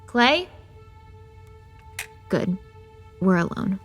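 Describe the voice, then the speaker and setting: A woman's voice: medium pitch, sing-song, drawn-out words, faint music behind. A woman playing a sardonic young woman who sees monsters, conversational and exasperated, in a horror audio drama. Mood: wry. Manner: sarcastic, conversational.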